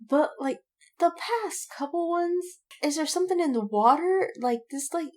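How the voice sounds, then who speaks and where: A woman's voice: high-pitched, animated, moderate pace. A woman co-hosting a podcast, chatting casually about fan interests in a loose, rambling way. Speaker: podcast co-host.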